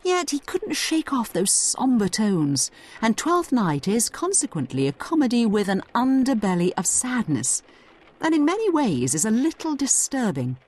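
A woman's voice: on the high side, very animated, a little slow, a little mellow, breathy edge. A woman narrating a scripted educational audio guide, explaining things conversationally as she addresses the listener directly.

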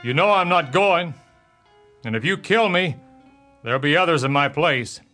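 An actor playing a steady, determined Texas Ranger with a terse, resolute manner in a Western audio drama. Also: male voice, fairly high pitch, moderate pace, faint music behind. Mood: defiant.

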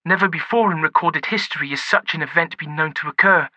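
A man's voice: on the high side, fast, pushed and bright, dry recording, muffled recording. A man voicing a polite female news reporter. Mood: formal newsreading. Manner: formal broadcast delivery. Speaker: audiobook narrator voicing a character.

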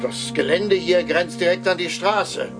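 A man's voice: high-pitched, unhurried, over music. An actor playing a blunt, reckless cult henchman hunting a fugitive in a radio play. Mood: searching. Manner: blunt, rough talk. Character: gruff male cult henchman, brash and reckless.

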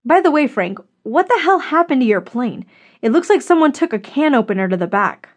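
A woman's voice: high-pitched, big pitch swings, brisk, pitched above the performer's own voice. A woman narrator voicing a strong, earnest, fiercely loyal woman in an audiobook story. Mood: playful, curious.

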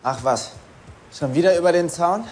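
A young actor playing an easygoing, teasing young stable hand. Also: male voice, a little high, moderate pace, a little hiss or hum, lightly acted. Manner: relaxed, teasing.

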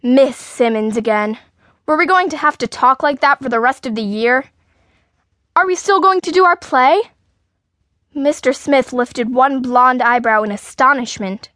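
A woman's voice: sits high, unhurried, a bit bright, dry recording, clean studio sound. A woman reading a children's story in the first person, as an indignant, outspoken sixth-grade girl. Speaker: audiobook narrator.

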